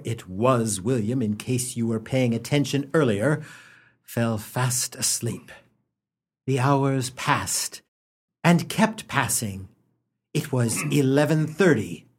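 A man's voice: mid-range voice, takes it slowly, breathy edge. A man narrating a radio play as a scripted storyteller. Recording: noticeable background noise.

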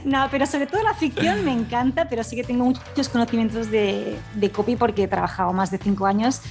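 A woman's voice: on the high side, brisk, darkish tone, faint music behind. A woman speaking casually as a podcast guest, joking lightly at her own expense. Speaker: podcast guest, audio fiction scriptwriter. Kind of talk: casual chat.

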